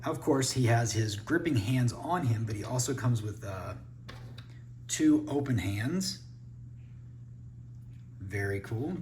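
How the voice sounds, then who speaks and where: A man's voice: mid-range voice, expressive, slow pace, long pauses, a little mellow, slight background noise. A man giving a casual unboxing review, thinking aloud as he handles the toys. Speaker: toy reviewer.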